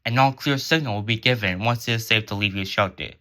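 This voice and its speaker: A man's voice: lowish voice, fast, sharp tone. A man reading scripted emergency alert narration in a formal, solemn announcer manner.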